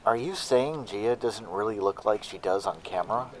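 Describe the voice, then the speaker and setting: A man's voice: on the low side, normal speed, close to an everyday voice. A man playing a probing, wry news interviewer in a radio drama. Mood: inquisitive, wry. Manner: inquisitive, wry.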